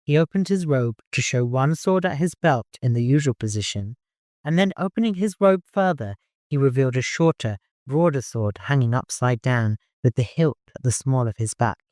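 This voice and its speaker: A man's voice: mid-range voice, expressive, normal speed, darkish tone, no room sound, clean studio sound. A man narrating a literary audiobook from a prepared script.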